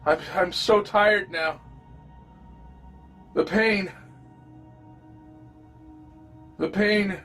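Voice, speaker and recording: A man playing a wounded, frightened survivor, telling his story in a dramatic, halting first-person horror audio drama delivery. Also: fairly high pitch, fairly bright tone. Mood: weary, fading. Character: terrified wounded male survivor of a zombie horde.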